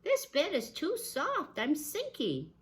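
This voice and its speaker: Little girl for a fairy tale, played by a woman: higher voice, childlike, fussy, naive. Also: huge pitch swings, exaggerated voice. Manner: childlike, halting.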